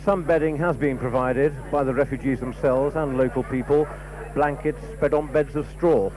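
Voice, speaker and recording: A man's voice: mid-range voice, steady tone, normal speed, a crowd in the background, rough recording. A man narrating a scripted television news report.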